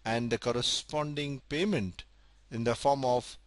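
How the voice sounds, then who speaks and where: A man's voice: medium pitch, fairly even intonation, no room sound. Methodical male lecturer, dry close sound. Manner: methodical, repeats key points slowly. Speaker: accounting lecturer. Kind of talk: recorded spreadsheet lecture.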